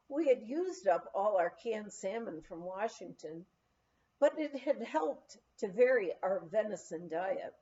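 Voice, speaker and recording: A woman reading a humorous memoir aloud as an expressive, animated storyteller. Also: medium pitch, highs cut off. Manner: animated storytelling with acted dialogue. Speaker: audiobook reader.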